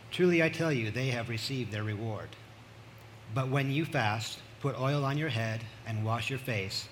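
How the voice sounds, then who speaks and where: A man's voice: medium pitch, fairly bright tone, poor audio. Man reading scripture over faint noise. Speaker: volunteer scripture reader.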